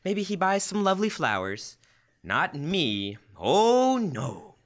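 A man's voice: high voice, very animated, slow pace, a bit bright, full character voice. A young man playing an earnest, flustered, apologetic husband in old-time radio comedy. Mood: apologetic, dejected. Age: teens or young adult. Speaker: high school student actor. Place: school library room. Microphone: stand microphone.

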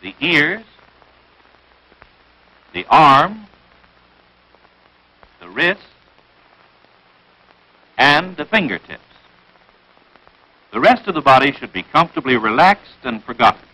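Lively, bright-voiced American male film narrator. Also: fairly high pitch, slow, pauses often, slightly rough, rough recording. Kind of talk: 1940s military instructional film narration, scripted. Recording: vintage 1940s film soundtrack.

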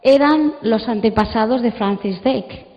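A woman's voice: a little high, measured pace. Woman chatting through a story, big reverby auditorium, kinda muffled sound. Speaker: audience member at a panel. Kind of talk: conversational anecdote telling. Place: large auditorium during a panel.

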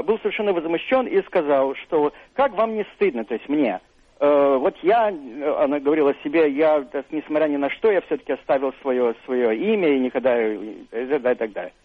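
An older man, an author and university professor, answering interview questions on the radio in a thoughtful, hesitant way. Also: mid-range voice. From Russia. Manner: thoughtful, hesitant, many fillers and restarts. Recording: narrow phone-like radio sound, dry.

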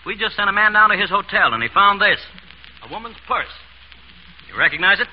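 An actor playing a pushy, accusing police investigator in a 1930s radio drama, blunt and husky. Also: male voice, high-pitched, very animated, a little fast, slight background noise. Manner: blunt, hard-pressing. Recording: muffled old radio broadcast.

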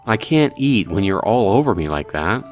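A man's voice: on the low side, very animated, moderate pace, soft background music. A man voicing a glum teenage schoolboy in a visual novel, sulky, grumbling and dejected.

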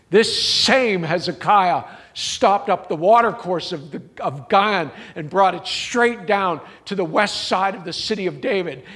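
A man preaching a sermon in a church, with rising emphatic phrases, drawn-out endings and rhetorical questions to the congregation. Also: a little high, fairly bright tone. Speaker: pastor.

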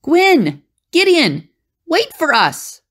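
A woman's voice: high-pitched, huge pitch swings, very slow, fairly bright tone, exaggerated voice. A woman playing a sensible, perceptive, worried adventurer in an audio drama. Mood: alarmed.

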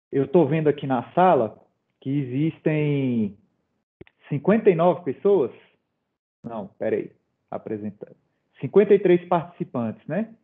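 A middle-aged man presenting in an online webinar, talking informally with many fillers and light jokes to the audience. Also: fairly high pitch. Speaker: presenter running a quiz. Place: home office. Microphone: video call. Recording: phone-like video call audio.

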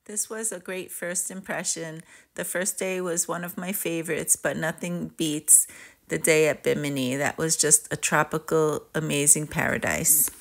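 A woman narrates a travel vlog as a casual, personal review. Her voice sits fairly low for a woman and has a clear creaky fry running through it. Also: fairly even intonation, normal speed. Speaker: travel vlogger. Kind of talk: casual voice-over review of a cruise trip.

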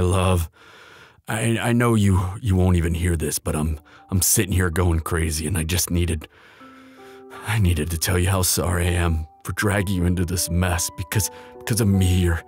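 Guy playing a desperate bodyguard in love, lowish voice, halting n earnest, music behind. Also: steady tone, darkish tone. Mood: guilty, grieving. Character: desperate, guilt-ridden male bodyguard in love. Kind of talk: boyfriend roleplay audio drama acting.

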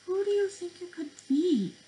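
A woman in her twenties, a speech therapist, reading to preschoolers in an animated, questioning way, recorded on a webcam in a bedroom. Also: very high-pitched, moderate pace, a little hiss or hum, rough recording. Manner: animated, questioning delivery for young children. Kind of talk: interactive children's read-aloud lesson. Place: small bedroom indoors.

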